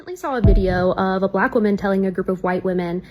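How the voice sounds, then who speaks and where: A woman lays out her opinion in an explanatory way. Her voice sits at a medium pitch with a husky, breathy grain. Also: fast, a little mellow. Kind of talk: explanatory commentary to camera.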